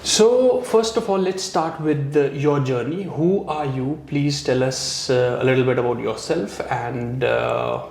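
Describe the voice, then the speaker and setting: Gravelly Indian man hosting an interview. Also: medium pitch, darkish tone. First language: Hindi. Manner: friendly, halting with fillers. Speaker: host. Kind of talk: casual interview. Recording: echoey room with faint ambience.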